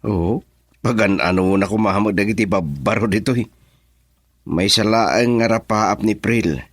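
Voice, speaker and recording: An actor playing a lively, teasing, friendly young man in a radio drama, with an Ilocano accent. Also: fairly low pitch, moderate pace, dry recording, studio quality, close to an everyday voice. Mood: chatty, teasing.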